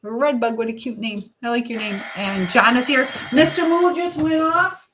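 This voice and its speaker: A woman's voice: fairly high pitch, moderate pace, noisy background. Chatty American woman hosting a casual livestream. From United States. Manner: chatty, reading out and answering viewer comments. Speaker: livestream host. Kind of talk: casual live chat with viewers while cooking. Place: home kitchen.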